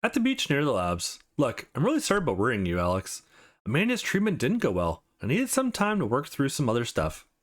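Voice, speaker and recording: Anxious, guarded young woman in a visual novel, played by a man, emotive, swinging pitch, easily exasperated. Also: wildly swooping pitch, brisk. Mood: worried, apologetic.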